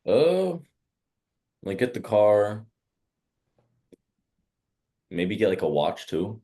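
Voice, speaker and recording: A man's voice: on the low side, big pitch swings, drawn-out words, warm tone. A young man on a sales call answering hesitantly, filling his speech with "like.". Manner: hesitant, heavy use of "like". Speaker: sales prospect. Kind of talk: casual phone conversation.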